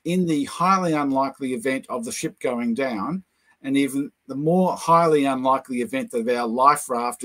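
A man's voice: medium pitch, fairly bright tone. Older New Zealand man telling a story. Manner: hesitant, many fillers, anecdotal. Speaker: guest lecturer. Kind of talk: casual chat, storytelling. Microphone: video call.

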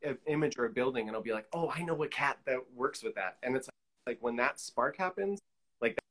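A man's voice: medium pitch, lively intonation, a little fast, a bit bright, husky. Middle-aged man chatting, thinking aloud. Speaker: guest, collage artist. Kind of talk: casual interview chat. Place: indoor room, on a video call. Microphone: video call.

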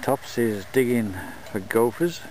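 A man narrating his dog scooter run outdoors in the desert in a relaxed, unhurried way. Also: mid-range voice, a little hiss or hum. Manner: relaxed, unhurried talk with fillers. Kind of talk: casual vlog narration.

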